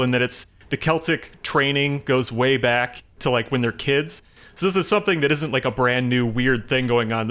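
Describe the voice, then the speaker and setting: Man rambling haltingly through a film recap. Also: mid-range voice, a bit bright. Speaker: podcast co-host giving a film rundown. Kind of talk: casual film discussion.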